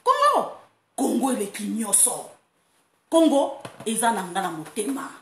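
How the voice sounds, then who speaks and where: A woman from France hosting a casual online monologue, animated and quick to laugh, breaking into playful chants. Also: on the high side. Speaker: online talk host. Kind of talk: casual monologue, mixing Lingala and French. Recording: roomy echo, faint background noise.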